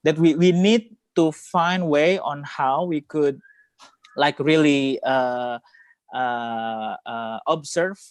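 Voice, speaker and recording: A man with an Indonesian accent talking casually on a video call, halting and often repeating his words. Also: a little high, clear, resonant tone, no room sound. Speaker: host. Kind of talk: casual reflective conversation.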